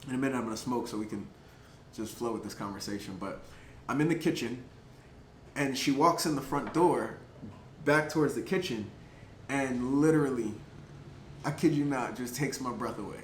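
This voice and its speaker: Casual male vlogger, filler-heavy, animated storyteller, echoey room. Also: medium pitch, moderate pace, long pauses. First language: English. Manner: chatty, lots of "like" and "um" fillers, laughs between thoughts; animated, gets louder at exciting moments. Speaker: vlogger telling a personal story. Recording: roomy echo, faint background noise.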